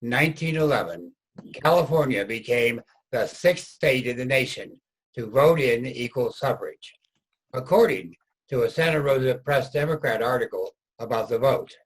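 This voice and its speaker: Male narrator for staged history reading, middle pitch, husky voice, measured storytelling from a script. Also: expressive, poor audio.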